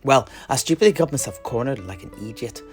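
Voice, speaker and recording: A man's voice: medium pitch, lively intonation, fast, soft background music, lightly acted. A man playing a teasing, roguish alchemist in a fantasy audio drama, spinning tales in a colourful, theatrical way. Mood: wry storytelling. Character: roguish, teasing male alchemist and rat slayer.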